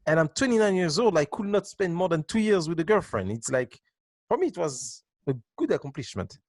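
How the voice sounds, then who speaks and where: Young man, twenties, interview guest, halting and laughing, dry close recording. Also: a little high, very animated, a little mellow, dry recording. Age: 20s. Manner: halting, restarts, laughs often. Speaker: dance teacher and interview guest. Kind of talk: casual interview answers.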